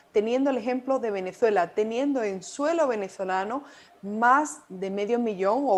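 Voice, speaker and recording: A woman hosting an interview, introducing her guest and putting questions to him. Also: fairly high pitch. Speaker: interview host.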